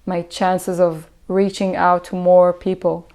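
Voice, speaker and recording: Woman, Israeli accent, Hebrew native, low-pitched, even and flat delivery, hesitant, thoughtful interview answers. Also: slow. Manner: reflective, hesitant with many fillers and restarts. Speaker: musician.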